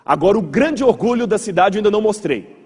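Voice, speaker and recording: Male Brazilian preacher-lecturer, higher-pitched and slightly husky, conversational storyteller addressing a congregation in a reverberant hall. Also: animated, fast, a little mellow. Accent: Brazilian Portuguese. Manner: conversational, asks the audience rhetorical checks, repeats words for emphasis. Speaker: pastor giving a church history lecture. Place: large hall with audience.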